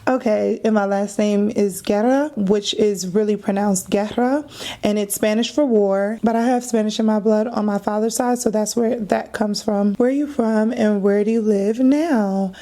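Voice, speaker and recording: A woman's voice: medium pitch, steady tone, normal speed, warm tone. A woman in her thirties from Trinidad, chatting casually to camera in a get-to-know-me Q&A, laughing often and dropping slangy asides. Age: 30s. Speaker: vlogger.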